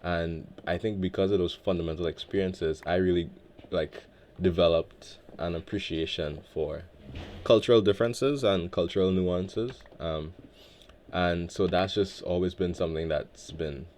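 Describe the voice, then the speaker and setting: A man from Guyana hosting a relaxed game let's play, talking in a laid-back, reflective way with many filler words. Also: low-pitched, measured pace, a little hiss or hum. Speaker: Minecraft let's play host. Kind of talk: relaxed gameplay commentary.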